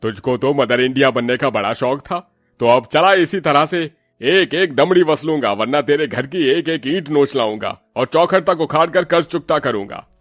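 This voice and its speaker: A cruel village moneylender, acted by a man, menacing and bullying in a cartoon tale. His mid-pitched voice is recorded dry, with faint music behind. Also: lively intonation, normal speed, a bit bright, no room sound. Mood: threatening. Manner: bullying, threatening.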